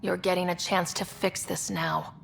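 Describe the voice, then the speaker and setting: A confident young expedition leader in a video game. Her voice sits slightly low, decisive with a wry edge, over faint noise. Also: very animated, moderate pace, creaky. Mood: firm.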